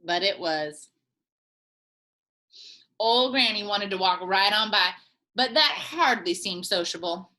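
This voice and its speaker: A woman teacher reading a picture book aloud to young children, expressive and full of playful asides. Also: mid-range voice, takes it slowly, long pauses. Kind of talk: children's storytime read-aloud.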